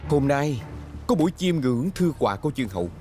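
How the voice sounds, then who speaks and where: A man's voice: mid-range voice, sing-song, quick pace, mellow, faint music behind, lightly acted. A man dubbing a scheming young nobleman in an ancient court drama, with a formal, courtly, insinuating manner. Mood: deferential, polite. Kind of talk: Vietnamese dubbing of costume drama.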